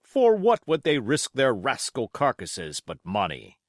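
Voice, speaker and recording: A man's voice: medium pitch, wildly swooping pitch, a little slow, no room sound, studio quality. A man voicing a bluff, hot-headed country squire in an audiobook, exclamatory, grand and condescending. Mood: excited, blustering.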